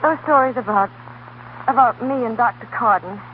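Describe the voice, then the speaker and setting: A woman's voice: a little high, moderate pace, lightly acted. Actress as an earnest young woman, tinny radio. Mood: gentle, insistent. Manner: intense, earnest, emotional. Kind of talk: 1930s radio drama acting. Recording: noisy, tinny 1930s radio broadcast.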